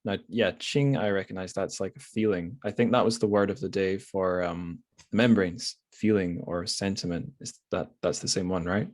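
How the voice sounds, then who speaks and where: A man hosts a relaxed book podcast. He talks casually and hesitantly, wandering through his thoughts as he goes. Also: deep voice, moderate pace. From Scotland. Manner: hesitant, rambling, thinking aloud. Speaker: podcast host. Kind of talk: casual literary interview.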